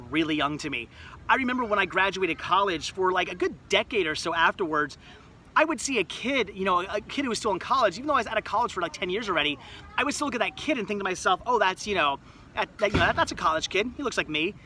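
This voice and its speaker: A man's voice: sits high, lively intonation, quick pace, sharp tone, slight background noise. A man in his late thirties hosting a livestream outdoors, chatting casually and rambling as he reads viewer comments with self-mocking humor. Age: 30s. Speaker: livestream host. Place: outdoors at a resort.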